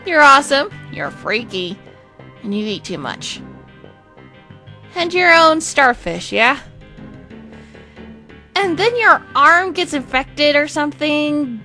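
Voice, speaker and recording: Woman voicing a manic, theatrical male twin, high-pitched. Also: very animated, slow, long pauses, pushed and bright, soft background music, exaggerated voice. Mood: bitter, manic. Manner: theatrical, foul-mouthed, rambling. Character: manic, crude, wounded creative twin Remus, male. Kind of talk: fan comic dub acting.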